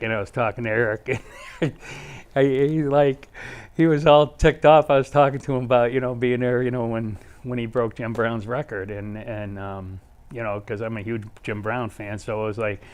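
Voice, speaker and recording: A middle-aged man with a clear, smooth voice at a medium pitch tells stories casually as an interview guest. He rambles and circles back, repeating his phrases like a fan reminiscing. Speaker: guest, sports superfan. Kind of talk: casual anecdotal storytelling.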